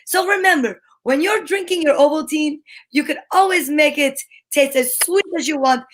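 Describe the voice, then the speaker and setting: A woman playing an upbeat radio announcer, reading a chatty, emphatic sponsor pitch in an old-time show style. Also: moderate pace, background noise, natural voice. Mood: bright, selling.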